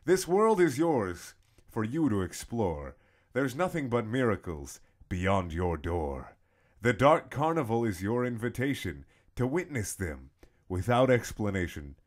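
A young man giving a mock-dramatic, theatrical reading with swooping emphasis and long pauses. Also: on the low side, big pitch swings. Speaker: comedic reader.

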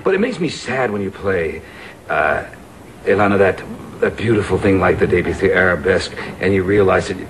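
A man's voice: low voice, lively intonation. Joking man hosting a talk show. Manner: playful, joking, quick banter. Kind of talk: casual chat. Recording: noisy vintage TV audio.

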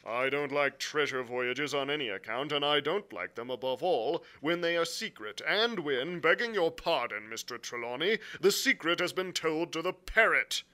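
A man's voice: fairly high pitch, animated, moderate pace, few pauses, sharp tone, voice pushed up. A man voicing a stern, stubborn ship's captain in an adventure story, clipped and plain-spoken. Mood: blunt, disapproving.

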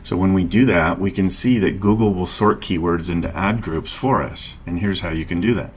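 A middle-aged man narrating a screen-share tutorial, calmly explaining each step and click as a marketing instructor. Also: low voice, moderate pace, a little hiss or hum. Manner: calm, explanatory, walks through clicks step by step.

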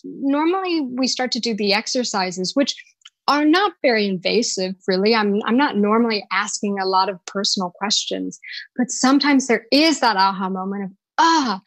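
A woman's voice: medium pitch, fairly bright tone, no echo. A woman voice and dialect coach answering interview questions on a live podcast, thoughtful and searching for words as she explains.

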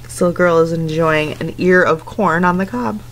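A woman's voice: fairly low pitch, normal speed, a little hiss or hum. Woman teacher reading aloud to young children. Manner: instructive, simple phrasing for children, often asking questions. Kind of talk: picture-book read-aloud with asides and questions to young listeners. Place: indoors at home, living room couch.

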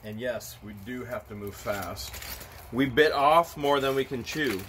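A man in his thirties digging through a storage unit outdoors, talking excitedly to his phone camera in a casual vlog. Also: mid-range voice, a little slow. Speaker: storage unit treasure hunter. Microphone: handheld phone camera. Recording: noisy outdoor phone recording.

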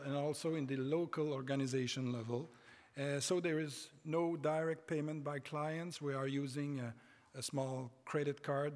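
A middle-aged man with a French Canadian accent, a native French speaker giving a conference lecture from a podium, halting with many hesitations and restarts. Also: medium pitch. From Quebec, Canada. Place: large conference hall stage. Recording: reverberant conference hall.

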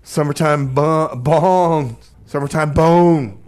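A man's voice: on the high side, takes it slowly, darkish tone. A man co-hosting a comedy radio show with casual, slangy, easygoing slacker banter. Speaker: radio music show co-host. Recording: background noise and ambience.

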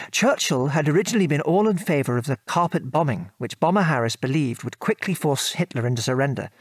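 A man's voice: mid-range voice, no echo, natural voice. An actor with a British accent playing a former RAF airman and father, frankly recounting his war service in an articulate, old-fashioned way for an audio drama. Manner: articulate, measured storytelling with old-fashioned British turns of phrase. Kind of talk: conversational audio drama reminiscence.

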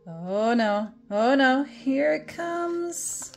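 Woman doing a kids storytime read aloud, takes it slow, dramatic and silly, music playing behind. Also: high voice, lively intonation, vocal fry. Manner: playful, exaggerated mock dismay, dramatic drawn-out words and whispers. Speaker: storytime reader. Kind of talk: animated children's picture book read-aloud.

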